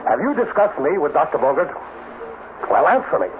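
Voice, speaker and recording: Casting: blustering scientist boss, highish, very animated voice, old radio style. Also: male voice, a little fast. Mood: gruff, demanding. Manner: pompous, demanding. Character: blustering, irritable male robotics director, self-important. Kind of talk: 1950s radio acting. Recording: tinny, noisy 1950s radio recording.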